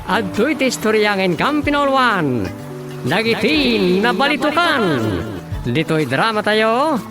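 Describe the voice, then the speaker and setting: A radio drama announcer with a Filipino accent speaks in a theatrical, scripted style. The pitch swings widely from phrase to phrase, and music plays clearly behind the voice. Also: sing-song, measured pace.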